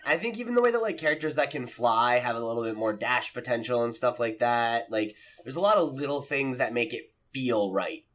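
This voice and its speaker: A man's voice: mid-range voice, animated, brisk, hardly any pauses, a bit bright. A man with a mid-range voice talks as a podcast co-host. His style is casual and conversational as he explains games and comics.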